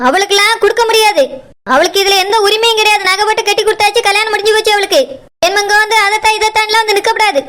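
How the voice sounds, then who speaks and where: A woman's voice: very high-pitched, bright, forceful tone. A woman playing a selfish, hard-hearted daughter-in-law in a family drama skit, curt and dismissive, with a southern Tamil Nadu accent. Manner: scolding, dismissive.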